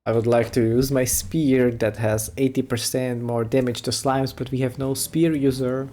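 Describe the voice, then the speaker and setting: A man's voice: medium pitch, little pitch movement, moderate pace, warm tone. A man giving casual let's play commentary in short, murmured reactions to a game. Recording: game music behind the voice.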